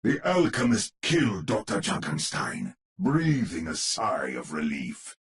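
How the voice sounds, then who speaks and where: Spooky storybook narrator for a horror game, super deep n slow, grave and dramatic. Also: male voice, huge pitch swings, full character voice. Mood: ominous, dramatic. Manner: theatrical, measured, ominous. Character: grave old-world storyteller narrating a gothic horror tale.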